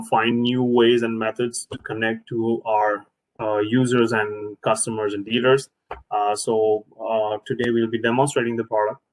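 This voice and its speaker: A man's voice: mid-range voice, poor audio. A man presenting an informal product demonstration as a trainer, speaking hesitantly and often repeating himself.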